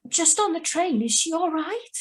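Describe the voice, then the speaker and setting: A woman's voice: extremely high pitch, lively intonation, moderate pace. A woman playing a troubled, hesitant young woman who survived an accident, in a radio drama read over a video call. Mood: anxious, shaken. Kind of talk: radio drama acting with first-person narration. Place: remote, on a Zoom video call. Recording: live video call reading.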